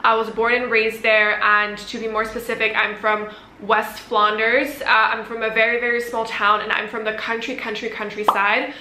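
A woman's voice: a little high, normal speed, echoey room, slight background noise. A woman in her twenties from Belgium whose first language is Dutch, chatting casually to camera in a question-and-answer vlog, gesturing as she talks. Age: 20s. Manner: chatty, animated hand gestures. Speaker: vlogger. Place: bright room at home, indoors.

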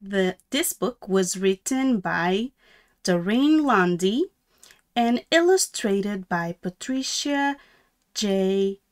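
A middle-aged woman reading a children's picture book aloud in a sing-song, deliberate way with exaggerated intonation. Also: mid-range voice, lively intonation. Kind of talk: children's read-aloud, slow and expressive.

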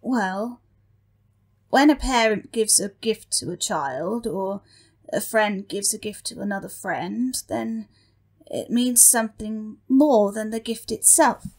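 A woman playing a meek, earnest demon underling in a comic audio drama, speaking in a hesitant, halting way. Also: mid-range voice, darkish tone.

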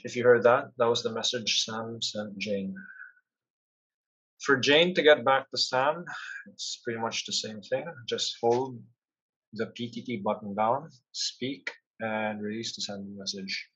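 Husky man narrating a software tutorial. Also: medium pitch, unhurried, low-quality recording. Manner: instructional, step-by-step. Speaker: product demonstrator.

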